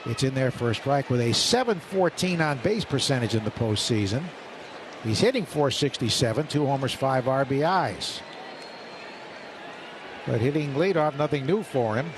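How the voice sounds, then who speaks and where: A man calling play-by-play baseball on radio, brisk and detailed, rising with the action. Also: medium pitch, animated. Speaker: play-by-play announcer. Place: ballpark broadcast booth. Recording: radio broadcast with background noise.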